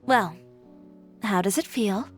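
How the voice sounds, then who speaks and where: A woman's voice: mid-range voice, expressive, takes it slowly, soft background music. A woman playing an assertive, composed young fashion designer in a video game, speaking frankly. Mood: reflective. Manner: self-assured, frank, composed.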